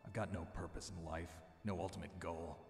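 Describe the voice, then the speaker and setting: A low, gruff American male mercenary performed by an actor speaks in a video game cutscene with long reverb and music underneath. He is jaded and stoic, delivering terse, blunt lines in deadpan fashion. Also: fairly quick, close to an everyday voice. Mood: bleak, jaded. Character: jaded, stoic male mercenary soldier, hard-bitten loner. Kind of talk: dramatic game cutscene dialogue.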